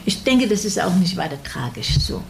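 A woman's voice: lowish voice, very animated, moderate pace, gravelly, slight background noise. A woman moderating an interview, attentive and encouraging, with many short affirmations. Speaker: guest interviewer. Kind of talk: casual interview chat.

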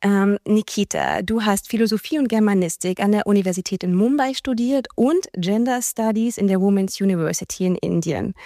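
A woman interviewer introducing her guest in a polite, formal way, slightly as if reading. Her tone is mellow and warm. The sound is dry and close. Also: mid-range voice, dry recording. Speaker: interviewer host.

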